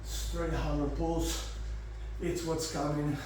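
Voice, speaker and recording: A man's voice: fairly high pitch, slow pace, very echoey, distant mic, slight background noise, rough recording. A man with a congested, blocked-nose voice, coaching a home garage workout and cheering viewers through their reps. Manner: energetic, encouraging, counting reps. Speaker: fitness coach. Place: garage gym.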